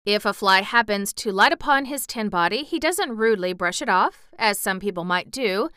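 A woman voicing a grave, gentlemanly wizard in a storybook, polite and formal. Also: mid-range voice, animated, moderate pace, clear, resonant tone, no room sound, clean studio sound. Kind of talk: storybook character voice.

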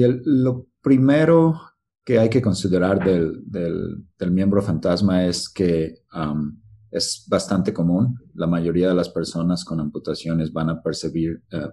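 A man answering interview questions, pausing often and searching for words over a call. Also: low-pitched, dark tone, husky. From Mexico. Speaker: researcher guest.

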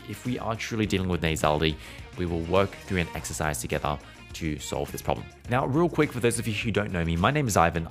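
A man teaching singing in a relaxed, conversational way, now and then humming or holding vowels to show a point. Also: sits low. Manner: conversational, demonstrates with hums and held vowels. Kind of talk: explanatory singing lesson, podcast.

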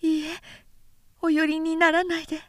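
Woman voicing a high, delicate young lady. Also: completely flat delivery, normal speed, fairly bright tone, no room sound, studio quality. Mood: anxious, hushed. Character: timid, refined young Japanese lady, soft-spoken. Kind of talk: literary audiobook character voice.

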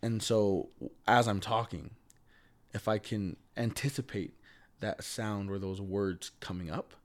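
A man's voice: on the low side, unhurried. A man who stutters, a podcast guest talking earnestly and with emphasis in a casual conversation.

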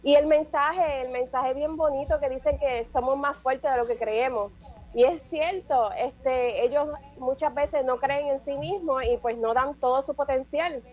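A woman, co-hosting a casual show review chat, relaxed and laughing often. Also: high voice, unhurried, low-quality recording. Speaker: podcast co-host.

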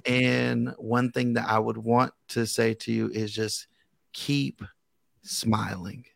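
A man's voice: mid-range voice, little pitch movement, takes it slowly, creaky, no room sound, clean studio sound. A man with an American accent hosting a radio interview in an informal, encouraging, slangy way. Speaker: gospel radio host. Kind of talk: casual interview chat.

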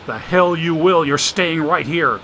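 A gruff, exasperated man in a storm-wrecked mansion, short on patience, as a male narrator puts him on. The voice is fairly high and bright, with a forceful edge. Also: a little slow, slight background noise. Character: irritable man in his own mansion, short-tempered.

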